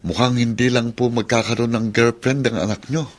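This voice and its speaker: An actor playing a gossipy, teasing but respectful young Filipino nephew in a radio drama. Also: male voice, medium pitch, fairly even intonation, fairly quick, lightly acted. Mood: teasing, conspiratorial.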